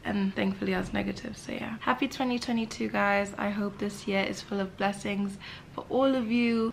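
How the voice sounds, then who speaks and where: A woman's voice: medium pitch, vocal fry, fairly bright tone, slight background noise. A young woman in her twenties chatting casually to her camera as a vlogger, upbeat and self-mocking. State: hoarse, voice not back. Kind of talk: casual vlog chat to camera. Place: hotel bedroom. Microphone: handheld camera close to face.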